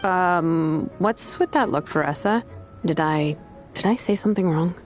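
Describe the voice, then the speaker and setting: A woman's voice: on the low side, unhurried, soft background music, close to an everyday voice. A woman voicing a laid-back, dryly wry young craftswoman with an older-sister manner in a video game. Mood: dry, mildly exasperated. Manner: dry, understated.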